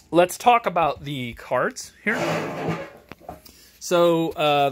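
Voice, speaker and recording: Guy casually reviewing a board game at home, medium pitch, kinda rambly, keeps cutting himself off. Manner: rambling, halting, self-interrupting. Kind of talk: casual off-the-cuff product walkthrough. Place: home game garage, indoors. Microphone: handheld camera, held by the speaker.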